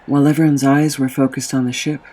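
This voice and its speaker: A man's voice: medium pitch, flat delivery, fast talker, a little mellow, close to an everyday voice. An actor playing a paranoid, weary immortal goblin in an audio drama, hesitant and uneasy as he speaks and narrates. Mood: brooding narration. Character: immortal male goblin, wary, paranoid, weary fugitive in 1937. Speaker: narrator and lead character. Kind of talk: audio drama acting with first-person narration. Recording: music under the voice.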